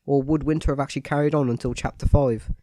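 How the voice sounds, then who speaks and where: A man's voice: medium pitch, steady tone, fast, mellow, dry recording. A man with a cold and a stuffy nose, giving a scripted, matter-of-fact voice-over analysis of a video game.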